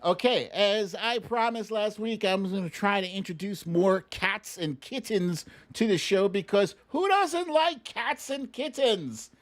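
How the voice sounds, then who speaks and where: Male livestream host, slightly high-pitched and unhurried. Conversational, playful emphasis, dramatic pauses. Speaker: host of a live political commentary show. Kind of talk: casual livestream commentary, reading viewer comments.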